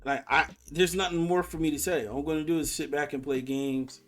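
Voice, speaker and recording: Male, twenties, higher-pitched voice, fed-up and combative live-chat host, slangy and sweary, faint music underneath. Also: a little fast, fairly bright tone. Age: 20s. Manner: exasperated, confrontational, slangy and sweary. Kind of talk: casual argumentative live conversation.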